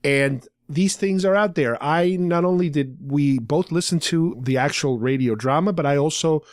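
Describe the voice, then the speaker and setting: A man's voice: on the high side, normal speed, no room sound, clean studio sound. A middle-aged man hosting a podcast, talking casually and explaining things like an enthusiastic fan. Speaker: podcast host.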